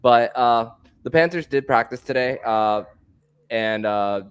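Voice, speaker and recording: A man hosting a sports podcast, talking casually and haltingly, with many restarts and fillers. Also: medium pitch, clean tone. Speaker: podcast host.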